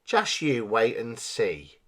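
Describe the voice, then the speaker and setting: A man's voice: medium pitch, wildly swooping pitch, slow, a bit bright, heavily acted. A man voicing a small, sly male mouse who coaxes and bargains in a storybook. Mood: sly confidence.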